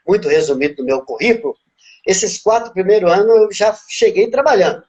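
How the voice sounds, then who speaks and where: A man in his fifties with a slight Bahian accent, telling his life story as an interview guest in a relaxed, reflective way. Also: sits high. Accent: Bahian Portuguese. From Brazil, born in Minas Gerais, raised in Bahia. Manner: relaxed, reflective, tags phrases with "né?".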